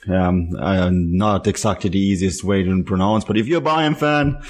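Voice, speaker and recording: A man with a low-pitched voice analyses football on a podcast. His delivery is measured and explanatory, in a casual discussion style.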